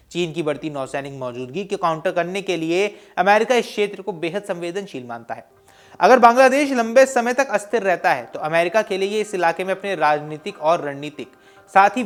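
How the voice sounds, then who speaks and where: A man presenting scripted news in an emphatic, explanatory way. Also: high voice. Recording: voice over music bed. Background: background music.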